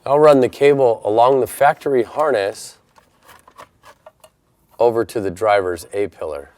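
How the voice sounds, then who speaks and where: A man's voice: medium pitch, a little slow, darkish tone. A man presenting an installation tutorial in a methodical, plainspoken way, explaining each step in turn. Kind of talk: step-by-step instructional narration.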